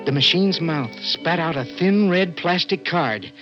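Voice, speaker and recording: An actor with an American accent playing a condemned poet, earnest and haunted, in 1960s radio drama style. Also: male voice, fairly high pitch, lively intonation, measured pace. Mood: haunted, reflective. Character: desperate condemned poet clerk, gentle dreamer. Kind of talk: 1960s radio drama acting with first-person narration. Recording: dull old radio recording with music.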